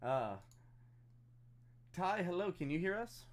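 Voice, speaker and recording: A man's voice: high voice, expressive, slow pace. A man chatting casually and self-deprecatingly as he hosts a live stream over voice chat. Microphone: voice chat on a computer.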